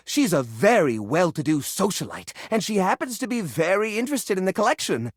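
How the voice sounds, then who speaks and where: A nervous, sentimental hoarder of a living junk drawer for a video game. He is theatrical and easily flustered, stammering as his pitch swings widely. The sound is dry and close. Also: big pitch swings, brisk, dry recording. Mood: earnest, explaining. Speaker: video game character voice actor. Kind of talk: animated video game character acting.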